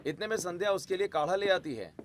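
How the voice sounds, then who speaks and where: A man's voice: sits high, lively intonation, fast. A man giving scripted narration of a family story as the narrator.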